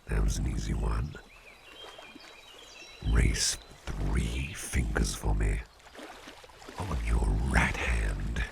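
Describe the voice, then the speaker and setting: A man playing a calm, caring, playful businessman boss in a slow, intimate ASMR roleplay, speaking in a soothing, gravelly, very deep murmur. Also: heavy background noise, heavily acted. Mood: hypnotic, calm. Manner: soothing, unhurried, repeats short phrases.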